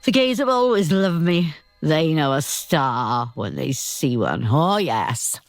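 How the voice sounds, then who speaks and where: A woman's voice: sits low, very animated, takes it slowly, dry recording, faint music behind, heavily acted. An older woman with a Welsh accent playing a grand, boozy, self-pitying ageing showbiz diva in a radio comedy-drama, theatrical and haughty. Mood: grand, self-assured. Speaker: actor in a radio play.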